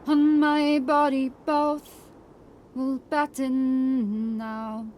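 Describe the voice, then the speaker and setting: A woman voicing a Norse warrior who recites funeral verse coldly and slowly in an audiobook. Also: sits high, level tone, darkish tone, slight background noise, voice pushed up. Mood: mournful, cold. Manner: cold, slow, incantatory.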